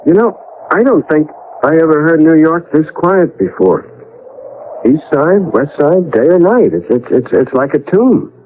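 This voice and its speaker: A man's voice: on the high side, animated, a little slow. A man acting in a radio drama as a bewildered, exasperated husband, stammering and blustering with an American accent. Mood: uneasy. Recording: muffled, noisy old radio broadcast.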